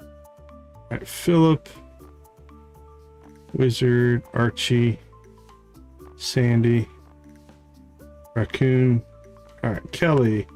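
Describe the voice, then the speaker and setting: Man, slow-paced casual game commentary, mid-pitched voice, thinking aloud, game music behind him. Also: darkish tone. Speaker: live streamer, game host.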